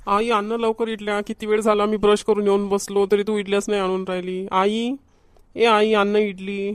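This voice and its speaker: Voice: mid-range voice, level tone, moderate pace, no echo, lightly acted. A performer voicing a food-loving, whiny young schoolboy in a homemade comedy cartoon, with a Varhadi Marathi accent. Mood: impatient. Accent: Varhadi Marathi from Vidarbha.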